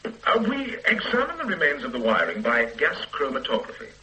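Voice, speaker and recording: An actor playing a pedantic, self-satisfied forensic scientist who lectures the police in a radio drama. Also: male voice, fairly high pitch, wildly swooping pitch, brisk, pushed and bright, exaggerated voice, rough recording. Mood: lecturing. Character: pompous middle-aged male forensic scientist. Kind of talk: 1970s radio drama acting.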